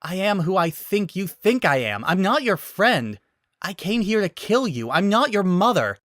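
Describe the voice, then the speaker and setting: A man voicing a hardened, conflicted female bounty hunter with a terse, forceful delivery in a dramatic story reading. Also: high voice, big pitch swings, moderate pace, no room sound, studio quality, pitched above the performer's own voice. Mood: angry, anguished. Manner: terse, forceful, spitting out words.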